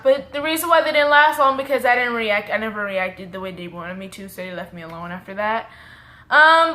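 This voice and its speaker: A woman's voice: medium pitch, pushed and bright. A woman in her twenties from the Dallas suburbs with a suburban Texas accent, chatting in a rambling, self-correcting way as she tells a story to camera. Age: 20s. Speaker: vlogger.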